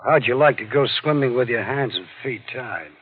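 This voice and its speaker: Hotheaded gangster goon, tough slangy talk, jumpy pitch, thin old radio sound. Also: male voice, animated, normal speed, husky, dry recording. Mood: threatening. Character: hot-headed, sneering male thug henchman. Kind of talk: 1940s radio acting. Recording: tinny 1940s radio recording.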